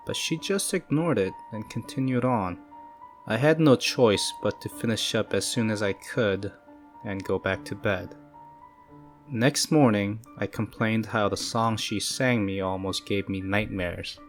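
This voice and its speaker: A man giving calm, measured, scripted narration of creepy first-person stories. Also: fairly low pitch. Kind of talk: scripted horror story narration. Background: faint eerie music.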